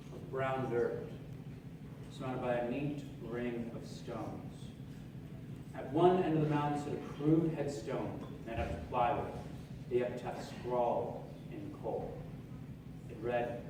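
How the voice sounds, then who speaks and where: A man's voice: medium pitch, lightly acted. A man acting a hearty American army sergeant in a live stage radio drama, with theatrical, projected delivery. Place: live on stage in an opera house. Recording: very echoey theatre hall, distant mic, noisy.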